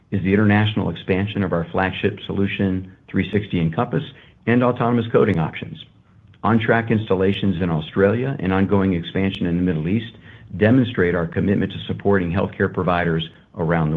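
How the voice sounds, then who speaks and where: Through a thin, phone-like conference line comes a low-pitched male executive with a rough edge to his voice. He reads prepared business remarks in a measured, formal way. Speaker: company executive. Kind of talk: prepared corporate earnings presentation. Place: earnings conference call.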